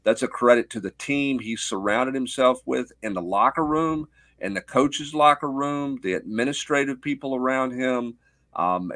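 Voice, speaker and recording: A man's voice: mid-range voice, a bit bright, no echo. A middle-aged man giving off-the-cuff sports analysis as a guest, explaining with rhetorical questions and little check-in tags.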